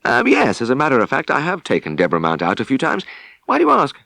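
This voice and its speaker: A man's voice: mid-range voice, wildly swooping pitch, fast talker, creaky, sharp tone, no echo, voice pushed up, full character voice. A man voicing a breezy, easily flustered young man in an audiobook story, hesitant and defensive. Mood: evasive, casual. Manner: hesitant, jumpy, swooping.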